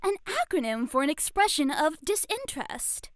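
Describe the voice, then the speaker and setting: A woman's voice: sits high, sing-song, normal speed, dry recording, clean studio sound. A woman playing a dramatic, coquettish society lady who teaches wooing in a scripted ASMR roleplay, with prim, theatrical phrasing. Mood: playful instructing. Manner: prim, theatrical, swooning flourishes.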